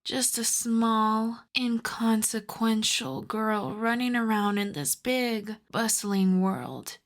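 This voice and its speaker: A woman's voice: on the high side, slow pace. A woman playing a seductive, possessive vampire countess in an intimate ASMR roleplay, teasing and commanding, often half-whispering. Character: seductive, possessive vampire countess, once human, playfully menacing.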